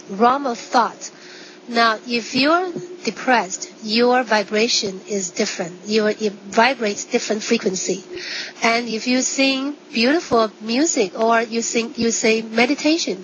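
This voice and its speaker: A woman with a fairly high voice explains as a physician being interviewed. Her phrases are short and halting, with simple non-native wording. The sound is a little dull. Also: a bit bright. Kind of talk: conversational explaining with simple examples. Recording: dull sound, faint background noise.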